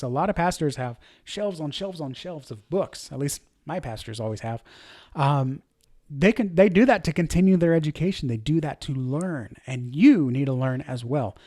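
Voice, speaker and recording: A man's voice: fairly high pitch, a little mellow, no echo, studio quality. Earnest, chatty man in his thirties. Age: 30s. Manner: conversational and earnest, with fillers and self-corrections. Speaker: church media director teaching a course. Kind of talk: informal course lesson.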